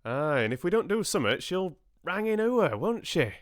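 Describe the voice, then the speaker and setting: A man's voice: high-pitched, big pitch swings, moderate pace, no room sound, voice pushed up. Eager, confident country farmer for an audiobook, a man acting him out; broad Yorkshire, swooping intonation, dry close sound. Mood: hearty, matey. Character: plump, eager, confident male farmer, matey and blunt. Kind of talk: audiobook character dialogue.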